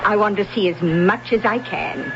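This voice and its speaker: A woman's voice: mid-range voice, moderate pace, over music, lightly acted. An actress playing a kindly older English grandmother, genteel, in a radio drama. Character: genial English grandmother visiting New York. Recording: muffled old radio recording, background noise.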